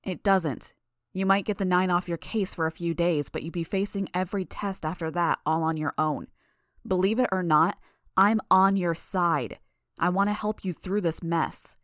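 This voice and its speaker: A woman's voice: fairly low pitch, fairly even intonation, normal speed, a bit bright, no echo, studio quality, lightly acted. A woman voicing a stubborn, earnest teenage girl in an audiobook, insistent and argumentative. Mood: firm, insistent. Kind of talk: dramatised audiobook dialogue.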